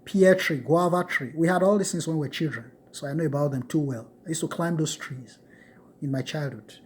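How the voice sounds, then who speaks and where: A man's voice: on the high side, a little fast, breathy edge. A middle-aged man from Nigeria giving an emphatic, repetitive motivational lecture to his students.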